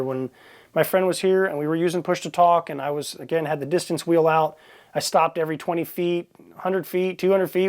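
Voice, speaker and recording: A man with a slightly high-pitched voice talks through a product review as the host. His delivery is casual and unscripted, plainspoken and matter-of-fact, like everyday conversation. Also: darkish tone.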